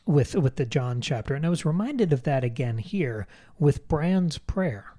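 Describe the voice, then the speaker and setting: Mellow, reflective man discussing books. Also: on the high side, animated, measured pace. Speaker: podcast co-host. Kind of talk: conversational book discussion.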